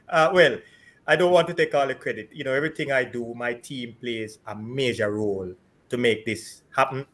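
A man's voice: mid-range voice, fairly bright tone. A middle-aged man hosting a live interview over a video call from his home, laughing often between questions. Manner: cheerful, laughs often, quick bursts. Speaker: host. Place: home room with shelves. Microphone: video call webcam.

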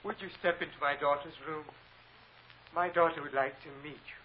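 An actor playing a pious, anxious, stubborn father with halting, formal speech in an old-time radio drama. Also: on the high side, steady tone, lightly acted. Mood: flustered, courteous. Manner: halting, repeats himself, formal wording. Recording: dull, noisy old radio recording.

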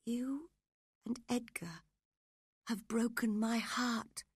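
A desperate, frail young wife on her deathbed as a woman narrator acts her out. Her voice is high, slow and breathy. She pleads with dramatic passion, as in a storybook romance. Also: dry recording, clean studio sound. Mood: wounded, pleading.

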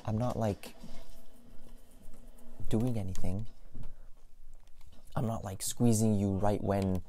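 A mellow, soft-spoken young boyfriend acted by a man in an intimate ASMR roleplay, taking his time with every phrase. He is clingy, affectionate and playfully teasing, with typing and game noise behind him. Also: fairly low pitch, fairly even intonation, slow. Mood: mock-innocent teasing. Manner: soft, teasing, mock-innocent, giggly. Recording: noisy, with keyboard and game sounds. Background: keyboard typing and game sounds.

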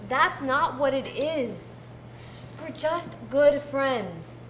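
A woman's voice: high-pitched, lively intonation, very slow, roomy sound, distant mic, noisy background, full character voice. A woman acting in a comedic drama, bossy and gossipy in manner.

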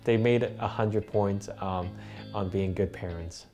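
A man's voice: fairly low pitch, fairly even intonation, a little slow, dark tone, soft background music. A young man from Hong Kong whose first language is Cantonese, speaking thoughtfully with many fillers in an interview. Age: young adult. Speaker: interviewee, bakery worker and student. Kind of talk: documentary interview. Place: bakery kitchen indoors.

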